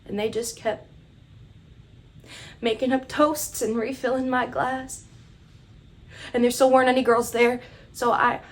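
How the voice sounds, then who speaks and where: Woman playing a naive religious school girl, nervous, halting n slow, bit high, dramatic monologue. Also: natural voice. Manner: hesitant, halting, confiding.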